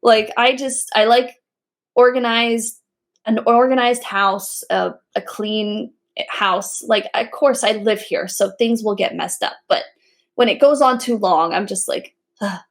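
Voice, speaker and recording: A woman's voice: fairly high pitch, fairly even intonation, a little slow. A woman co-hosting a podcast, chatting casually and laughing often. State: pregnant. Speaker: podcast co-host. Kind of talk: casual chat.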